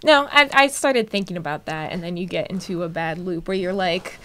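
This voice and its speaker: A woman's voice: on the low side, brisk, a bit bright. A thirty-year-old woman chatting informally and jokily as a co-host on a live stream. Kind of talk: casual chat.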